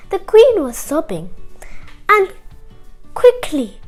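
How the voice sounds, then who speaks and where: A woman hosting a children's story show, telling a tale in an expressive, chatty way and addressing the listener. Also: very high voice. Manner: animated, chatty, asks the listener questions.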